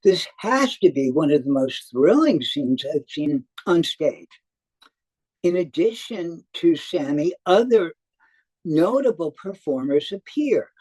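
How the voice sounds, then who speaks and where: Slow, lively man reading a review. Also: fairly high pitch, slightly rough, no echo, poor audio. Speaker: theater reviewer. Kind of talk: scripted review read aloud.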